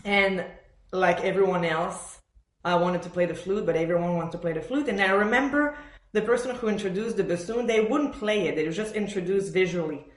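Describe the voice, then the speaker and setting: A woman raised in Montreal talks as a podcast guest in an echoey room. Her pitch sits in the middle for a woman. She tells personal stories in a conversational way, voicing the remarks of others as she goes. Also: brisk. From born in Argentina, raised in Montreal, Canada. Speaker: podcast guest, professional orchestral bassoonist. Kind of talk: conversational interview storytelling.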